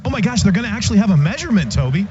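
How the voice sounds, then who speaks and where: Male football analyst, high voice, live radio commentary, muffled sound, clear stadium noise. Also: big pitch swings, very fast. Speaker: radio sideline reporter and analyst.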